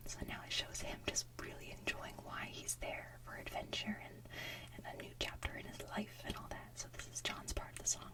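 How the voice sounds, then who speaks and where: A woman hosting ASMR, chatting casually in a soft whisper like an enthusiastic fan who rambles as she goes. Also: distant mic, poor audio. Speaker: ASMR video host.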